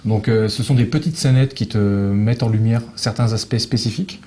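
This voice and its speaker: A man's voice: lowish voice, fairly quick, mellow. A man speaking casually in an interview as a guest, telling stories in colloquial speech. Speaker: guest publisher of visual novels.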